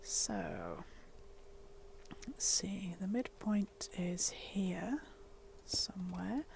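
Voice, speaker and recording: A woman walks through a block-building tutorial in a hushed, half-whispered voice. She sounds wheezy, as if coming down with a chest infection, and her low voice carries a gravelly edge. A faint hum of room noise sits behind her. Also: huge pitch swings, very slow. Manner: soft, half-whispered explaining. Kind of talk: casual game tutorial voice-over.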